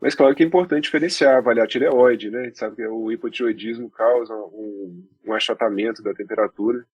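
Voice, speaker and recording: A Brazilian man, a doctor guest on a podcast, explaining in a measured way and restarting phrases as he goes. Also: mid-range voice, darkish tone, slightly rough, no room sound, dull audio. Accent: Brazilian Portuguese.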